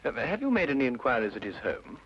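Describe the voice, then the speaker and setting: A man's voice: medium pitch, very animated, fast, slight background noise, close to an everyday voice. A man playing an urbane, courteous British detective and narrator in an old radio drama. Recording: dull old radio recording, music behind.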